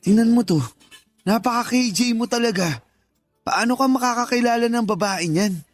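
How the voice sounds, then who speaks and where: A man's voice: very high-pitched, normal speed, faint music behind. An actor playing a teasing, pushy married best friend in his thirties, with a Filipino accent, coaxing and joking in a radio drama.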